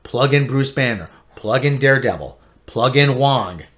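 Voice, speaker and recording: A man giving an opinionated, emphatic TV review rant, repeating himself and swinging between loud outbursts and low grumbling. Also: lively intonation, normal speed. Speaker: online pop-culture commentator.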